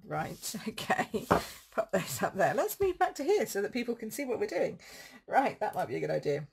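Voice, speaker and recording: Woman, croaky voice, rambling and thinking aloud, casual live craft demo, light background hiss. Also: medium pitch, sing-song, normal speed. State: croaky throat. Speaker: card-making demonstrator.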